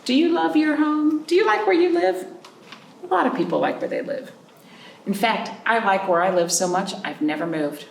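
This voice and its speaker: A woman hosting a library storytime, reading picture books and chatting to young children in an animated way with simple questions. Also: medium pitch, moderate pace, gravelly. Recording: roomy, distant mic with background noise.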